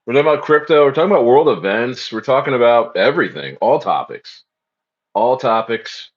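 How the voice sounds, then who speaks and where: A man's voice: mid-range voice, animated, fairly quick, highs cut off. A man hosting a casual crypto livestream, speaking loosely and repeating phrases as he reads the chat.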